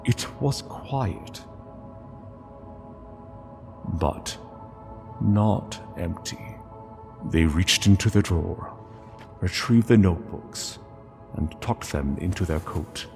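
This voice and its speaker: Deep, slow male story narrator in reverb. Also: animated, gravelly, a little mellow. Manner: solemn, measured, pausing between phrases. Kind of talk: dramatic scripted story narration. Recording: long reverb, music underneath. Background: music.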